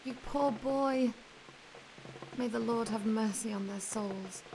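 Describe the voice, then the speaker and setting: An actress playing a young, pious noblewoman in a medieval video game, speaking with measured sympathy. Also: fairly high pitch, background noise. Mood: compassionate. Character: gentle, pious medieval noblewoman married to an older lord. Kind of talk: dramatic game cutscene acting.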